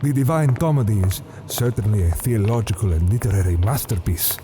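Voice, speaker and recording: An actor playing a devout, courteous elderly cardinal who talks in a formal, polite and earnest way. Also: male voice, lowish voice, fairly quick, noisy background, lightly acted.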